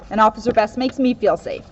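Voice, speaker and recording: A woman's voice: a little high, fairly bright tone, slight background noise. A woman, a police officer, reading a children's picture book aloud in a dull lo-fi recording. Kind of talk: read-aloud children's story narration. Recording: dull, lo-fi sound.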